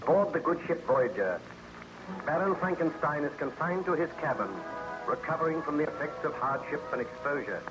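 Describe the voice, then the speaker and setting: Old timey radio announcer guy, formal measured narration, bit high, music behind. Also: expressive, rough recording. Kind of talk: 1930s radio scripted narration.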